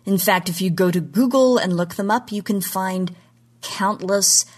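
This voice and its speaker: An American woman from Oklahoma presenting a scripted podcast talk in an articulate storytelling style with measured emphasis. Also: medium pitch. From Oklahoma, United States.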